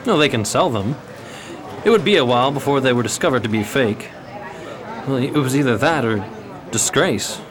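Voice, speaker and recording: A man playing a courtly, uneasy gentleman gambler in a 1920s period radio drama, speaking formally. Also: mid-range voice, expressive, moderate pace, long pauses, crowd noise, natural voice. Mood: uneasy, confessing.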